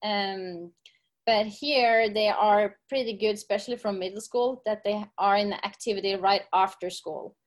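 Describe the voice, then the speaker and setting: A middle-aged woman with a Norwegian accent talks about her life in a relaxed interview. She speaks English as a second language and often stops on an "um" to find the right word. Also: mid-range voice, a little slow. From Norway. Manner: searching for English words with fillers. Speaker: podcast guest, gym owner and coach. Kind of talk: casual interview.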